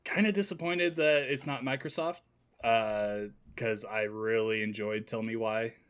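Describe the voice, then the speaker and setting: A man's voice: fairly low pitch, a little slow, fairly bright tone, clear, resonant tone. A man chatting casually as a panelist on a gaming podcast, talking like an enthusiastic fan.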